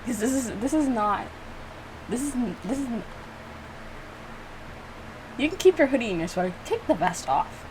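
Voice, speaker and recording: A woman giving casual, informal reaction commentary as a video creator, animated and playfully exasperated. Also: fairly high pitch, moderate pace, pauses often, slight background noise, rough recording. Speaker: video creator reacting to own visual novel.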